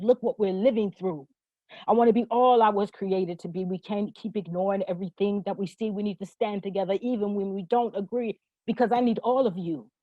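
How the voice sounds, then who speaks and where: A woman's voice: medium pitch, dark tone, dry recording, muffled recording. A woman performing spoken word poetry with rhythmic, rhyming phrasing and an emphatic, declarative manner. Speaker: poet.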